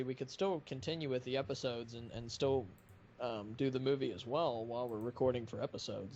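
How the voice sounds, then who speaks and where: A man hosting a casual live stream chat, talking in a rambling, halting way. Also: mid-range voice, a little mellow. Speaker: live stream host of a fan dubbing project. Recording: muffled audio with light background noise.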